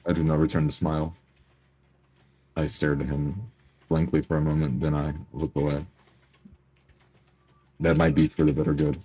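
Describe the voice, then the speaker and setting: Very deep, husky, flat-toned man. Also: normal speed, pauses often, low-quality recording. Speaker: tabletop roleplay player. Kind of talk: in-character description of actions.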